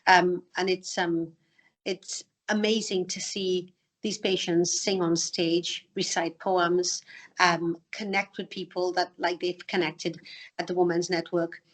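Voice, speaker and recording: A woman's voice: fairly low pitch, flat delivery, slow. A woman hosting a podcast interview, speaking with frequent um fillers. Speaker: podcast host, charity trustee. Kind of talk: conversational interview.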